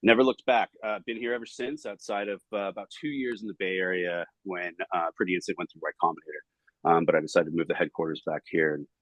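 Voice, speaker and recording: A man in his forties with a Southern Californian accent, answering interview questions in an energetic, rambling way full of fillers. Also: deep voice, fast talker, dry recording, poor audio. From United States. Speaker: podcast guest, startup banking director.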